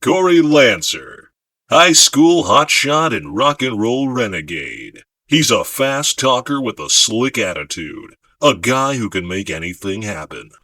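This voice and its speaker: Man doing a husky, bombastic eighties announcer. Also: medium pitch, sing-song, measured pace, bright, forceful tone, no room sound, heavily acted. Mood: bombastic hype. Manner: hyped, punchy, dramatic promotional patter. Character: bombastic male 1980s game trailer announcer.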